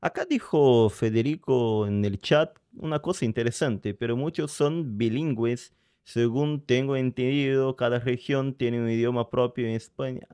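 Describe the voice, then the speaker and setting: A man's voice: mid-range voice, moderate pace, warm tone, clean tone, no echo, clean studio sound. A man whose first language is Portuguese, with a Brazilian accent, chatting casually and hesitantly while reacting to a video and reading the chat. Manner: hesitant, searching for words, reads chat aloud. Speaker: reaction streamer.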